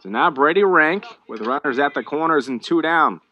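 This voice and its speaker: An American man calling live baseball play-by-play, conversational between pitches and more animated on the action. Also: moderate pace, muffled recording. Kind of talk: live sports commentary.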